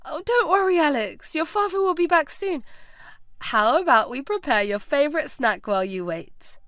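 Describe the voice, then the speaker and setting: A woman's voice: high-pitched, expressive, moderate pace, fairly bright tone, dry recording, clean studio sound, voice pushed up. A woman voicing a kind, concerned housekeeper in a storybook, with a lilting, coaxing manner. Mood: cheerful comforting.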